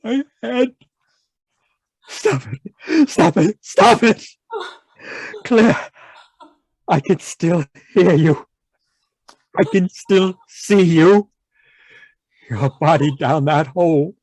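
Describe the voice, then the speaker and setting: An actor playing an anguished, haunted man in an old-time radio horror monologue, halting and repetitive in a melodramatic way. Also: high voice, animated, darkish tone, dry recording, heavily acted. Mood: horrified, anguished. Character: anguished, haunted man raving about monstrous giant worms.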